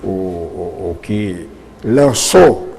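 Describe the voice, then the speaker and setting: A man's voice: sits low, lively intonation, very slow, vocal fry, a little mellow, slight background noise. Older man rambling through radio memories. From Brazil. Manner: rambling, repeats words when searching. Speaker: radio broadcaster. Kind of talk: reminiscing interview answers.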